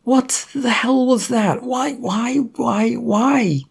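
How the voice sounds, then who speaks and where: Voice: medium pitch, extremely slow, gravelly, husky, pitched above the performer's own voice, exaggerated voice. A narrator voicing a hapless, bewildered male adventurer with an incredulous, sulky manner in an adventure story. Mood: shocked disbelief.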